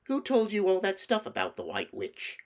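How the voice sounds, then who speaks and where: Man as sulky schoolboy, raised pitch, petulant, greedy, storybook character. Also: huge pitch swings, normal speed, heavily acted. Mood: snappish. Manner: petulant, pleading. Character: snappish, greedy, sulky young boy.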